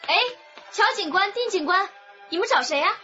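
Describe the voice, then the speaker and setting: Radio drama: composed young daughter, high, bright voice with lively intonation. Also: female voice, brisk, lo-fi sound, faint music behind, full character voice. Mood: polite. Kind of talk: Chinese crime radio drama acting.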